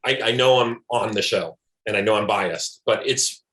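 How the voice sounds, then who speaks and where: A man giving an interview as the showrunner of an animated series. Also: lowish voice, normal speed. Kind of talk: interview talk. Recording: echoey room.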